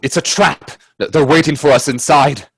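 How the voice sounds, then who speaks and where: An earnest, anxious gentleman adventurer in a radio play, played by a man. His voice sits a little high and sounds dry and close. He delivers his words with grave, dramatic care. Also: fairly even intonation, slightly rough, no room sound. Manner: earnest, hesitant in dialogue, measured in narration.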